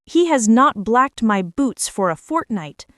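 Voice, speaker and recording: A woman's voice: fairly high pitch, sing-song, measured pace, a bit bright, dry recording, studio quality. A woman voicing a peremptory, bad-tempered male ship's captain in a children's storybook. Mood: commanding, irritable. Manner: peremptory, brief commands.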